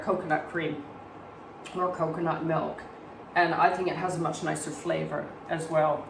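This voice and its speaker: A woman with a slight cold and a hoarse voice gives a calm cooking demonstration in her home kitchen, explaining each step and why it is healthy. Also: on the low side. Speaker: cooking presenter. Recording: roomy, distant mic, faint background hum.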